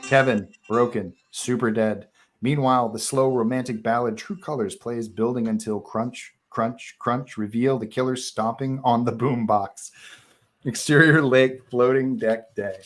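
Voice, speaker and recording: A man's voice: mid-range voice, steady tone, a little slow. A man narrating stage directions at a live table read of a horror screenplay, in scripted narration style.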